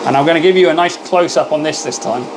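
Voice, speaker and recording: A loud, chatty man hosting a live stream, his voice sitting a little high for a man. He greets his viewers one by one and talks easily while he works. A faint hum of machinery carries behind him. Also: quick pace. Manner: chatty, thanks viewers by name.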